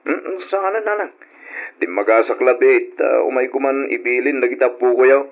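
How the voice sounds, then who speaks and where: A man's voice: medium pitch, moderate pace, natural voice. A man playing an affectionate, respectful young father in a radio drama, with a mild manner. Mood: affectionate, easygoing. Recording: dull lo-fi radio recording.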